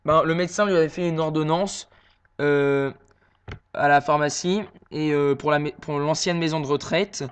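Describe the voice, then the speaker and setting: A man making a prank phone call, posing as a worried son and talking hesitantly with many pauses and fillers. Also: on the high side, steady tone, clean tone.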